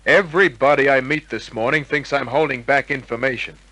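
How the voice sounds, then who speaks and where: Hard-boiled male detective for vintage radio drama: cool, wry, clipped, with a bright mid-pitched edge. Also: brisk, dry recording. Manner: wry, clipped tough-guy delivery. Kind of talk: old-time radio crime drama acting and narration.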